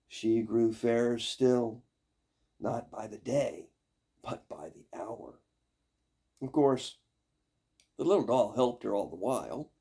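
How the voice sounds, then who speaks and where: An older man tells a fairy tale in a husky, creaky voice. He takes his time, phrasing each part deliberately and dramatically, with expressive pauses. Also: on the low side. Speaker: storyteller. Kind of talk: expressive fairy-tale narration read aloud.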